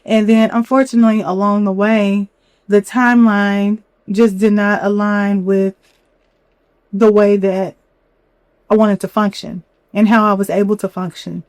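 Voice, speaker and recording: A young woman hosting a solo podcast, a little hoarse from a cold, talking candidly with lots of "you know". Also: medium pitch, level tone, measured pace, darkish tone, no room sound. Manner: candid, chatty, many fillers and "you know". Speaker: podcast host. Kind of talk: reflective solo podcast talk.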